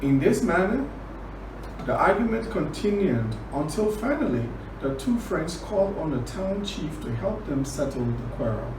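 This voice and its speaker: A man from Liberia telling a children's story and teaching words, deliberate, repeating phrases for emphasis. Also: on the high side, sing-song. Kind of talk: children's storytelling and word lesson. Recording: roomy, distant, clearly noisy recording.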